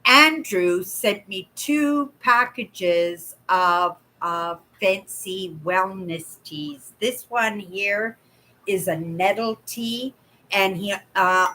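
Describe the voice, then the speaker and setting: A woman's voice: medium pitch, slow, pushed and bright, a little hiss or hum, low-quality recording. A Canadian woman in her sixties from Vancouver, chatting casually with viewers on a livestream from her home, rambling and reading out their comments. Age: 60s. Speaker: livestream host. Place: home room, indoors. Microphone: webcam at desk.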